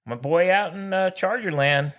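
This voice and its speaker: A man's voice: high-pitched, normal speed, a bit bright. An American man co-hosting a sports podcast, chatting casually in a slangy, exclamatory, teasing way.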